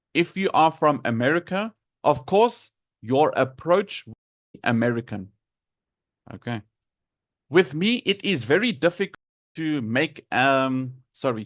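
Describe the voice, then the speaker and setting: The South African man at the front of an English class, sounding words out deliberately in a close, dry recording. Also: medium pitch, no room sound. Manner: deliberate, repeats and enunciates words for learners. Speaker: English teacher. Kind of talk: live lesson explaining pronunciation to students.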